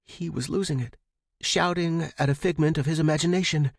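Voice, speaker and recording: A man reading pulp fiction as an expressive, dramatic scripted narration for a podcast. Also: on the high side, a little fast, vocal fry, dry recording, studio quality.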